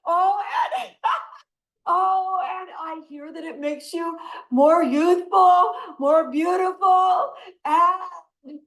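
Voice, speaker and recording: A woman leading a laughter yoga session, giving breathing cues and breaking into loud laughter often. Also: very high-pitched, very slow, echoey room, low-quality recording. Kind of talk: guided exercise instruction. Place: video call from home. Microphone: computer mic on a video call.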